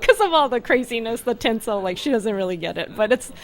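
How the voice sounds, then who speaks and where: A woman's voice: a little high, lively intonation, fast, poor audio. A woman from California, an artist being interviewed at her art fair booth, talking casually with fillers and frequent laughs. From California, USA. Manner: chatty, laughs often, uses fillers like "um" and "like". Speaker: artist, guest.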